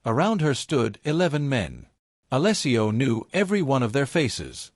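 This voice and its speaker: A man's voice: medium pitch, very animated, normal speed, no echo, studio quality. A man reading a dramatic story as scripted audiobook narration.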